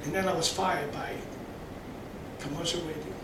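A man with a Hong Kong accent, a native Cantonese speaker, speaking bluntly and haltingly as a panelist. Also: a little high, fairly even intonation. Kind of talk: panel speech. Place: panel event hall. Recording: echoey hall from far off, background noise.

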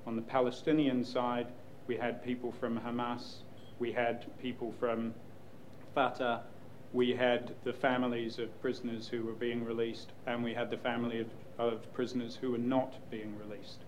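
A man in a question session, answering at length in a measured way with frequent pauses and ums. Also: mid-range voice, little pitch movement, dull audio, slight background noise. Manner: measured, hesitant with many ums. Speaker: news executive answering questions. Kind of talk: Q&A panel talk.

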